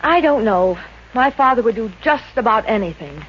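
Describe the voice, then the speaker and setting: A woman's voice: a little high, lively intonation, moderate pace, a bit bright. A woman playing a sheltered rich young daughter, shy but frank and earnest, in 1950s radio comedy style. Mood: wistful. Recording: dull, noisy old-time radio recording.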